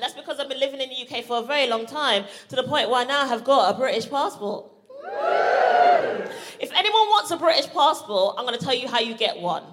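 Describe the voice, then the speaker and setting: A woman from Barbados performing a live stand-up comedy set, punchy and playful, shouting out her punchlines. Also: high voice. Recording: live stage recording with audible background noise.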